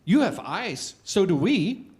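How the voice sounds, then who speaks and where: A man's voice: high-pitched, sing-song, slow pace, a little mellow, heavily acted. A man voicing a polite school of fish. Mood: gently dismissive.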